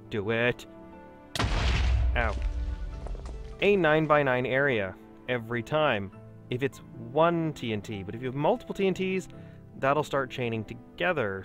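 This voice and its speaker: Chatty man narrating gameplay, faint music. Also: medium pitch. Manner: chatty, self-talking, explaining as he plays. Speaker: gaming video host. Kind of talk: casual gameplay commentary.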